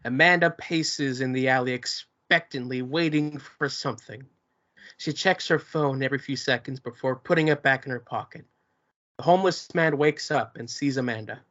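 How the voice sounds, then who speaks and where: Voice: mid-range voice, moderate pace, a bit bright. A narrator reads stage directions at a table read of a play. The delivery is scripted narration, describing scenes and movements. The recording sounds a little muffled.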